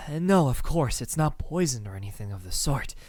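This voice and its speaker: A man playing a lonely, centuries-old vampire suitor in an ASMR roleplay, speaking softly and haltingly with tender words that slip into whispers. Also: mid-range voice, big pitch swings, dry recording. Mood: attentive, flustered. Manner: soft-spoken, halting, tender, slipping into whispers.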